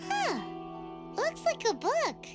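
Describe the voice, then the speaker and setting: A woman voicing a tiny, excitable, childlike fairy companion in a video game, with a squeaky voice. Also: slow, loud music behind the voice, heavily acted. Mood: excited. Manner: refers to herself in third person, exclaims readily. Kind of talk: animated video game voice acting.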